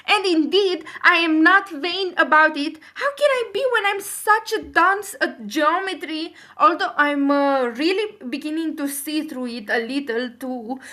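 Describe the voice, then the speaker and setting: A woman's voice: sits high, fairly bright tone, pitched above the performer's own voice. A woman voicing an earnest, dreamy, chattering schoolgirl in a storybook reading, effusive and wordy.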